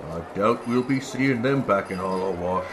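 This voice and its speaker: A male performer playing a gruff, suspicious, blustering city guard in a radio-style fantasy serial. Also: lowish voice, normal speed, background noise, natural voice. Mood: scornful.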